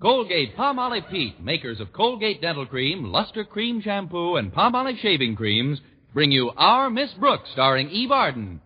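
A man's voice: high voice, very animated, moderate pace, slightly rough. A man announcing a sponsored comedy show in 1940s radio style. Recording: dull vintage 1940s radio recording.

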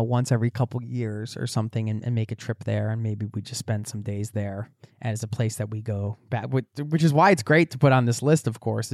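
A man talks in an earnest, upbeat, rambling way, his pitch often lifting as he builds a thought. His voice sits in a middle range for a man. The recording is dry and close. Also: darkish tone, no room sound. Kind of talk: casual chat.

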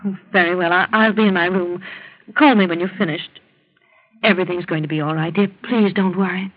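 A woman plays a devoted wife who keeps soothing her ailing husband, soft and breathy. The voice comes through a thin, phone-like old radio recording. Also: fairly low pitch, steady tone, a little fast, close to an everyday voice. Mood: gentle. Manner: soothing, pleading. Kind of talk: 1940s radio drama acting.

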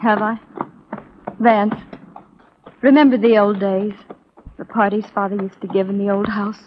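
An actress as a young Southern woman spy from a 1940s radio play. She is poised, wistful and guarded, with a mid-pitched voice. The sound is dull and lo-fi, like an old broadcast. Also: steady tone, unhurried, slight background noise, lightly acted.

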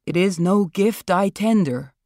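A woman's voice: medium pitch, measured pace, warm tone, no room sound, studio quality, close to an everyday voice. A woman voicing a sneering, pompous older male actor with a declamatory manner. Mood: loud declaiming.

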